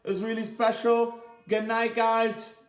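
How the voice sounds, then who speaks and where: Male children's coach, high-pitched, encouraging and simple in his explanations, recorded in an echoey room. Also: level tone. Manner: encouraging, praising, explains slowly and simply with repetition. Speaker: martial arts coach leading children's story time. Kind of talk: interactive children's lesson, chatty.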